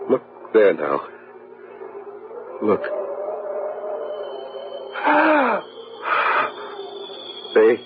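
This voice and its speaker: An actor playing a tormented man consumed by fear and madness, halting and repeating himself in an old-time radio horror drama. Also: mid-range voice, exaggerated voice. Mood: anguished. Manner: halting, repeating words in dread. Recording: muffled old radio recording, music behind.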